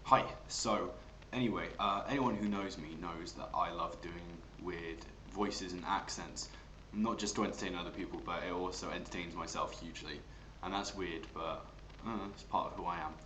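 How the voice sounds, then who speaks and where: English male amateur voice actor, casual chat, lowish pitch, roomy home recording. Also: steady tone, moderate pace, sharp tone, a little hiss or hum. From England. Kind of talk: casual chat introducing accent impressions.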